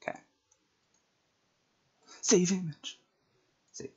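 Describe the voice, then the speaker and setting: A man's voice: drawn-out words, warm tone, dull audio. A man streaming online, who reads his own stories aloud and chats casually. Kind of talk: story narration and casual livestream chat.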